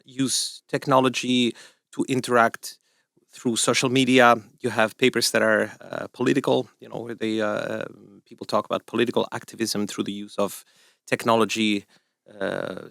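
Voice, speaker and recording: A man's voice: medium pitch, creaky, fairly bright tone, dry recording, clean studio sound. A middle-aged man from Italy with an Italian accent, chatting on a podcast as a research professor, rambling with many fillers and jokey asides. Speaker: research professor, lab co-director. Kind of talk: casual podcast chat.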